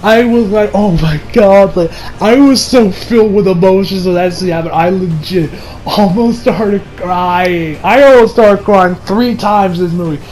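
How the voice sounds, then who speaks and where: A young man giving a casual, unscripted movie review in an excitable, gushing manner with plenty of swearing. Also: sits high, unhurried, rough recording.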